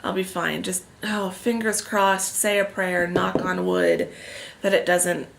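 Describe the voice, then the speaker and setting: A woman's voice: on the low side, expressive, takes it slowly, creaky, noisy background. A woman vlogger chatting casually on camera with a head cold, sounding stuffed up and a little tired. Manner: chatty, rambling, self-deprecating. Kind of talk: casual vlog chat. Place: indoors at a family house, on a couch.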